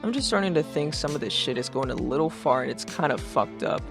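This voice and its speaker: A man's voice: fairly high pitch, lively intonation, brisk, creaky. A man playing a laid-back, sarcastic, secretly uneasy teenage boy who talks in casual, sweary slang in a webcomic fan dub. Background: music.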